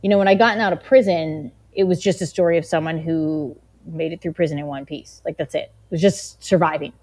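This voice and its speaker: A woman with a low voice answers as an interview guest, a journalist reflecting on her work. She talks conversationally and loosely, often dropping in "you know" and "like" as she thinks. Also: steady tone, fairly quick, darkish tone.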